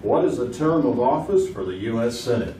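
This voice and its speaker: A man's voice: mid-range voice, animated, brisk, mellow, lightly acted. A man playing a folksy, wisecracking host of a 1950s radio game show on stage in a theater. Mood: genial. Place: live stage performance in a small theater. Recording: roomy, distant theater recording with heavy noise.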